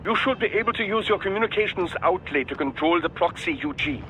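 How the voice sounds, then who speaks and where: A man's voice: sits high, lively intonation, quick pace, low-quality recording. An actor voicing a precise, quirky male technical expert in a video game, formal and explanatory. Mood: helpful, pedantic. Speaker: technical adviser. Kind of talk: English video game voice acting.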